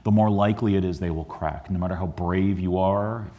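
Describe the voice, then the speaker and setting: Deep-voiced male historian from the Chicago area, earnest lecturer who stumbles through fillers. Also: fairly even intonation, a little fast, dark tone. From Chicago area, USA. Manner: earnest, with frequent ums and restarts. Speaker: historian giving a book talk. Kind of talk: museum lecture. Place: museum lecture hall.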